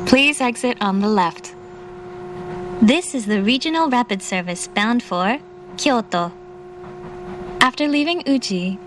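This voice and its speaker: Woman as train announcer: formal, measured, very slow, precise diction, slightly high voice. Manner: formal, measured, carefully enunciated. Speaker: English-language train announcer. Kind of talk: recorded in-car station announcement. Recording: faint train noise. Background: train car ambience.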